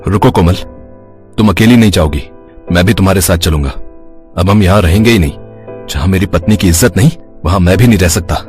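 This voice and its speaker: Young husband acted by a man for a family story cartoon: deep, earnest, protective, with soft music behind. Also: fairly quick, close to an everyday voice. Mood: resolute. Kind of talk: Hindi moral-story cartoon dialogue.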